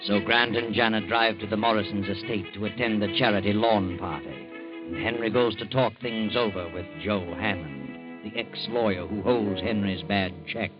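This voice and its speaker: A man narrating an old radio drama in a measured storytelling manner. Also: on the low side. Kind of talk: scripted narration. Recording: dull old radio recording over loud brass music.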